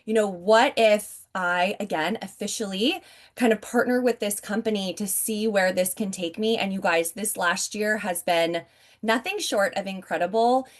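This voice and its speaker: Woman, 40s, upbeat chatty presenter pitching over a video call from home. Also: moderate pace. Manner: upbeat, chatty, keeps addressing the audience directly. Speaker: network marketing presenter.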